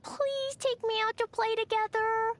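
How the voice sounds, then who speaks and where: A woman's voice: extremely high pitch, fairly even intonation, unhurried. A woman voicing an eager, mischievous little girl knight with a childlike, cutesy manner in a video game. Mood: eager, playful. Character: hyperactive, mischievous little girl knight who loves bombs. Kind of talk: video game character voice lines.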